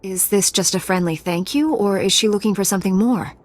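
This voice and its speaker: An actress playing a calm, honorable female knight in a video game, composed and formal. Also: medium pitch, a little fast, close to an everyday voice.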